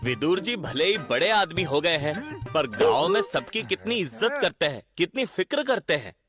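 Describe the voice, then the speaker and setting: Chatty village man, high bright voice bouncing around. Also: big pitch swings, moderate pace, faint music behind. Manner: admiring, chatty. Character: admiring village man, cheerful.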